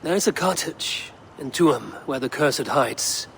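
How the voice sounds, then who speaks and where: An actor playing a steady, grim male Viking warrior who speaks tersely. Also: mid-range voice, measured pace, creaky, a little hiss or hum. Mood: determined. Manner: terse, resolved.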